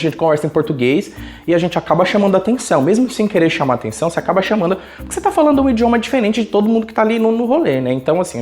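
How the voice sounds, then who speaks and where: A man from Brazil with a Brazilian accent, telling a personal story casually and colloquially as a YouTuber. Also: on the high side. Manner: colloquial, animated retelling with acted quotes.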